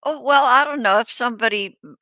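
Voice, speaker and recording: A woman co-host in a casual reaction chat, amused and chatty, with drawn-out asides. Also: on the high side, sharp tone, dry recording.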